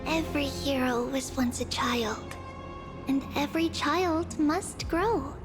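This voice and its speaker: An actress playing a serene young holy maiden who speaks calmly and gently. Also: female voice, sits high, slow. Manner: calm, gentle. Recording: game music behind.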